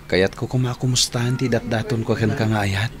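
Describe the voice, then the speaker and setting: Man playing a low-voiced, lovelorn young suitor. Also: fairly even intonation, brisk, lightly acted. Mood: hopeful. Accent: Filipino, Ilocano. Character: earnest lovelorn young male suitor, gentle, wounded. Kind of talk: Ilocano radio drama acting.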